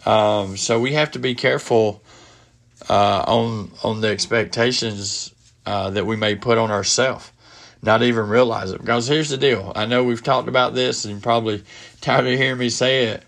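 A middle-aged man with a head cold hosting a casual solo podcast from his home office, speaking haltingly with many fillers and restarts. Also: medium pitch, steady tone, gravelly, a bit bright. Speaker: podcast host.

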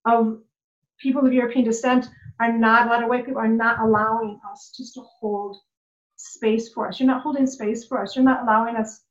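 Guest, a woman life coach with a higher voice, passionate and rambling, on a lo-fi recording. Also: flat delivery, brisk. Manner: impassioned, repetitive, many "like"s. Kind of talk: casual conversation.

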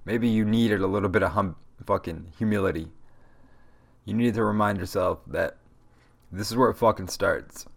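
A man's voice: on the low side, normal speed, gravelly. A young man hosting a solo podcast, ranting in a rambling, profane, motivational way, stuffy-nosed from a cold. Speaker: podcast host. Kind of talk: casual solo podcast rant.

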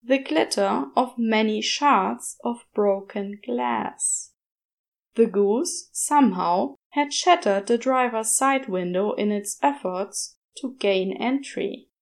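A woman's voice: a little high, slow pace, vocal fry. A woman reading a story aloud as scripted narration. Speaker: podfic reader.

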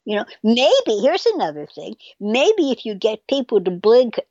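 A woman's voice: a little high, sing-song, a little slow, a little mellow, no echo, dull audio. A woman chatting casually as a podcast guest, rambling and hesitant as she thinks aloud. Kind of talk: casual conversational discussion.

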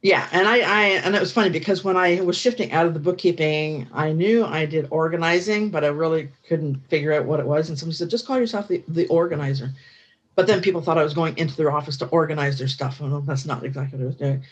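A woman's voice: sits low, fast, breathy edge, highs cut off. A middle-aged woman from Ottawa with an Ottawa Canadian accent, telling her work story in an easygoing, matter-of-fact way as an interview guest. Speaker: guest, business finance educator.

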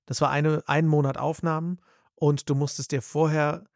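Gravelly-voiced guy chatting about dubbing work, lots of ums, dry close mic. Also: a little high, normal speed, dry recording. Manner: animated, thinks aloud with many fillers. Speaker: voice actor and dubbing director. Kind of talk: casual podcast interview.

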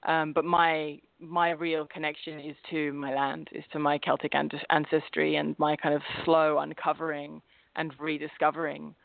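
A woman's voice: low voice, monotone, normal speed, creaky, no room sound. A woman from England with an English accent touched by an Australian twang, chatting as a guest with frequent laughs and restarts. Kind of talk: casual interview chat. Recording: phone-like line, dry.

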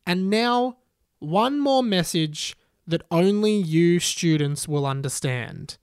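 A man voicing a portentous wizard teacher addressing students in a loud, deliberate, declamatory way, as in a storybook. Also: sits high, expressive, smooth, no room sound, clean studio sound, full character voice. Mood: portentous, commanding.

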